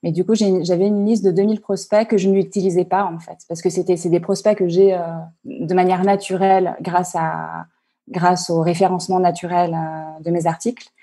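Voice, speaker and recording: A woman coach answering interview questions on a video call, halting and full of fillers and restarts. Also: fairly low pitch, level tone, warm tone, slightly rough. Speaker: online coach and entrepreneur.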